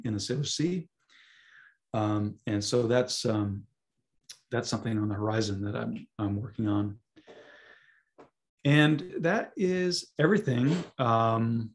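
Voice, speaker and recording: A hoarse man who is losing his voice, giving a webinar talk over a video call with many ums and restarts. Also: mid-range voice, a little hiss or hum. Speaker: guest speaker, scientist. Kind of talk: webinar presentation.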